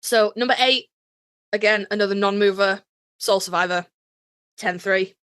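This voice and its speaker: A woman co-hosting a podcast, chatting casually as a fan in an animated, emphatic, joking way with plenty of swearing. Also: medium pitch, normal speed, smooth, dry recording, studio quality. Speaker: podcast co-host. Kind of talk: casual fan chat about a TV series.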